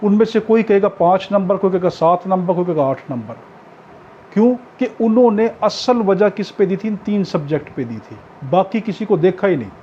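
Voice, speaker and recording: Pakistani male tutor, high-pitched mellow voice, informal instructive lecture delivery. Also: animated, normal speed. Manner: instructive, repeats key points for emphasis. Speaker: law exam tutor. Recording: dull lo-fi audio with background noise.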